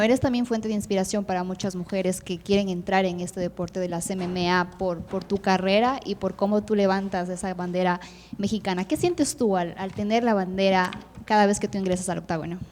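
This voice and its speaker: A woman's voice: on the low side, a little mellow, a little hiss or hum. A woman reporter asking questions with energy and "eh" fillers. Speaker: Spanish-language reporter. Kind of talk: interview questions. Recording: echoey hall.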